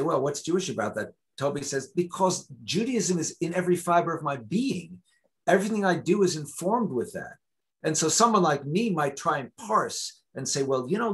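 A man's voice: a little high, a little fast, breathy edge. A man in his sixties, a guest lecturer, answering questions on a video call from a home study, talking in long discursive stretches with frequent restarts. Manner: discursive, many restarts, animated. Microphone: video call webcam.

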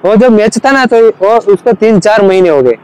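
A young man from Gujarat, a cricket fan, talking eagerly and haltingly in a casual outdoor street interview. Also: high-pitched, a little mellow, clear, resonant tone, poor audio. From Gujarat, India. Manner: eager, halting, with many restarts.

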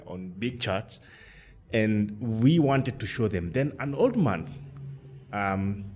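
A man hosting a podcast, talking in a measured, hesitant way with pauses. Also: on the low side, big pitch swings, darkish tone, breathy edge. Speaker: podcast host. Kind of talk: conversational interview.

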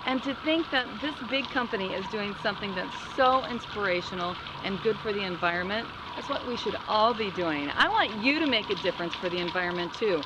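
A woman in her thirties hosting a garden vlog outdoors, talking to the camera with excited exclamations. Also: mid-range voice, fairly quick, hardly any pauses. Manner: enthusiastic, exclamatory. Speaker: vlog host. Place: outdoor garden beside a house. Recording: outdoor camera audio with clear background noise.

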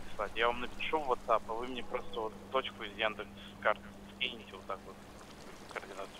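A man's voice: fairly low pitch, steady tone, a little fast. A man working as a dispatcher on a business phone call, patient and practical, repeating himself. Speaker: towing service dispatcher. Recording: phone call under clear ambient noise.

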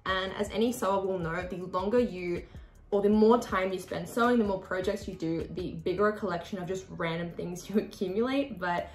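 A woman's voice: mid-range voice, brisk, roomy sound. A woman, chatting to camera as she hosts a sewing tutorial from her desk. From Australia. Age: 20s. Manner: chatty, expressive hand gestures. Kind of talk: casual tutorial talk to camera. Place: small room at a desk with a sewing machine.

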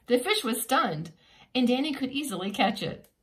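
A woman preschool teacher reading a children's picture book aloud in an expressive, teacherly way with simple asides. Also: high voice, moderate pace.

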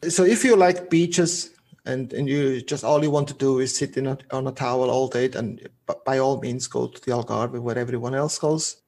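A man from Portugal whose speech carries a Swiss German accent, his voice gravelly and a touch husky. He talks casually and haltingly as a podcast guest, often restarting a phrase before finishing it. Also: medium pitch, steady tone, darkish tone.

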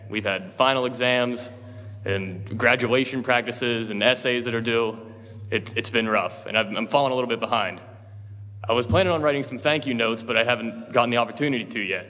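A man's voice: mid-range voice, brisk. A teenage boy giving a humorous graduation speech at a podium, with a dry, joking, lightly self-mocking delivery. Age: teens. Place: large school auditorium stage. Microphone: podium microphone, filmed from far. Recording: reverberant hall with soft music.

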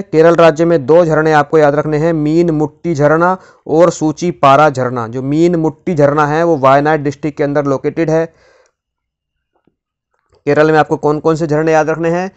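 Male tutor for exam drills, smooth and slightly high voice, repeats and quizzes, lo-fi sound. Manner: repeats key facts, quizzes the viewer. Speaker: teacher. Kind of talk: exam-prep geography lesson.

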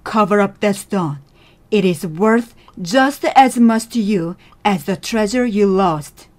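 A woman's voice: mid-range voice, slow pace, darkish tone, lightly acted. A woman voicing a sensible, blunt stranger in a storybook fable, questioning in a matter-of-fact manner. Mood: dry advice. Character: sensible, blunt male passer-by advising a miser.

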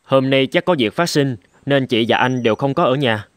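A man voicing an indignant, protective younger brother in an animated drama, emphatic and earnest. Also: medium pitch, moderate pace, no echo, natural voice. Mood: polite, probing. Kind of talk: Vietnamese animated drama dubbing.

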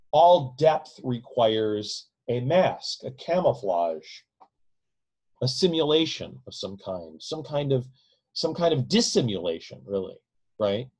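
A man's voice: mid-range voice, a little mellow, slightly rough. A man in his forties giving a casual, discursive lecture from a home room into a close webcam, often adding "right?" to his points. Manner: discursive, frequent "right?" tag questions. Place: home room with bookshelves, indoors. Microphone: webcam at close range.

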